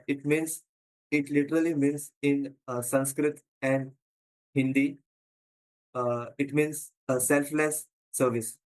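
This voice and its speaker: A man from India with an Indian English accent gives a conference talk, pausing often with fillers and stuttering. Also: medium pitch, low-quality recording. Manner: frequent pauses and fillers, stutters. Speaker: conference presenter. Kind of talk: prepared talk.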